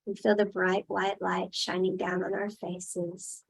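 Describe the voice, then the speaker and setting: A woman leading a guided meditation in a soothing style, speaking in short, unhurried phrases with long pauses. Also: on the low side, completely flat delivery, creaky, husky, poor audio.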